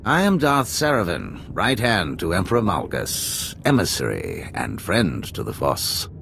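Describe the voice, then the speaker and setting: A dark lord from a sci-fi video game. He speaks very low and slowly, savoring each grandiose phrase with smug politeness and swooping intonation. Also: wildly swooping pitch, creaky, a little hiss or hum, full character voice. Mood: smug menace. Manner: slow, grandiose, mock-polite. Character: haughty male Sith lord, smug right hand to a usurper. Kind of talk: video game cutscene acting.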